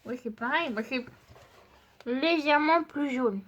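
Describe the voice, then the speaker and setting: A woman with a high voice, stuffy and congested from a cold, talks in a casual, chatty way straight to her viewers. She rambles a bit with frequent fillers while handling craft supplies, and a faint hiss sits under the recording. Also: unhurried, muffled recording. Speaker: art channel host. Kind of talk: casual craft haul and paper test.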